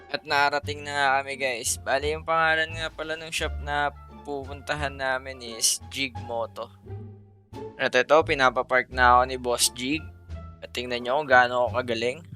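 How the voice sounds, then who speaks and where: Male Filipino vlogger, casual playful voice-over, bright tone, light music underneath. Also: mid-range voice, flat delivery, normal speed. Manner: playful, teasing, addresses viewers as guys. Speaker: motovlogger narrating own trip.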